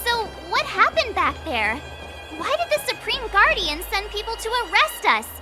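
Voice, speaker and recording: A woman's voice: extremely high pitch, sing-song, moderate pace. A woman voicing a perky, cheeky teenage girl adventurer in an anime game, exclamatory and playful. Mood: indignant. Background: game music.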